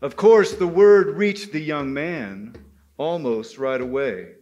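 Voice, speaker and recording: A man's voice: fairly high pitch, lively intonation, slow pace, darkish tone, muffled recording. A man telling a folktale live, emphatic and speaking directly to his listeners. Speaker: storyteller at a men's gathering.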